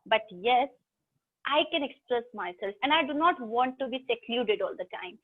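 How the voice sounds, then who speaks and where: A high-pitched woman with an Indian English accent teaches English online, chatty and teasing, calling on her students by name. Her voice comes through thin, phone-like video call audio. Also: lively intonation, normal speed. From South India. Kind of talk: online class lecture.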